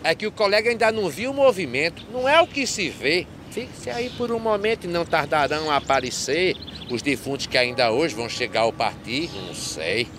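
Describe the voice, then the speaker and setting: Grumbling gravedigger, highish forceful voice, emphatic animated-film verse, faint background noise. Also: male voice, fairly quick, hardly any pauses. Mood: emphatic complaint. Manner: complaining, emphatic. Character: grumbling male cemetery gravedigger, cynical and worn-down.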